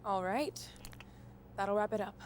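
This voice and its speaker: An actress playing a polite, professional interviewer in a game, courteous and measured. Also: female voice, medium pitch, a little hiss or hum, close to an everyday voice. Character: polite, professional female pre-draft interviewer. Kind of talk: formal interview in game cutscene.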